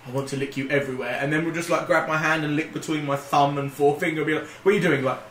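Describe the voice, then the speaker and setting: Bright-voiced man bantering in a roomy space. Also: a little high, fairly even intonation, rapid-fire, slight background noise. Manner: rambling, riffing, swearing. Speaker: podcast co-host. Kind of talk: casual comic banter. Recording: roomy, echoey group recording.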